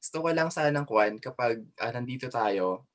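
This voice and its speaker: A man with a Filipino accent leads an online class, talking in a loose, chatty way full of fillers and quick switches between languages. His voice sits in an ordinary middle pitch and comes through a video call. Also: lively intonation. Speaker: teacher. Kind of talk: online class, casual instructions.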